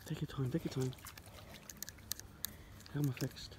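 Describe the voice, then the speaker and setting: An older man chatting casually while fishing by a lake outdoors, exclaiming excitedly, recorded on a phone camera. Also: medium pitch, a little slow. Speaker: grandfather fishing. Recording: loud outdoor noise.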